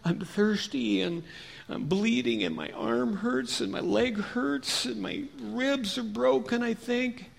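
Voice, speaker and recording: Casting: male storyteller as injured robbery victim, high, creaky, plaintive and weak, for a kids' tale. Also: long reverb tail, heavily acted. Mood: weak, pained. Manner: slow, plaintive pleading. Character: wounded robbery victim, pleading weakly in pain. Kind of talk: storytelling for children.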